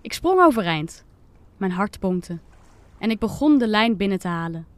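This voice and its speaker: A woman reading a scripted first-person children's story with expressive, dramatic delivery. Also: mid-range voice, normal speed, no echo. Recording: music under the voice.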